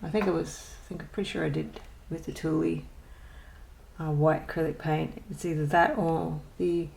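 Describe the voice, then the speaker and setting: A woman's voice: low voice, fairly even intonation, normal speed, vocal fry, a little mellow, slight background noise, rough recording. A woman chatting casually in a show-and-tell vlog, rambling and hesitant with many ums and self-deprecating asides. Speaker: colouring channel host.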